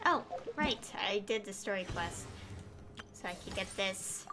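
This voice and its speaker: A woman's voice: medium pitch, animated, unhurried, noisy background. A woman streaming a video game, giving casual commentary in a rambling, hesitant way.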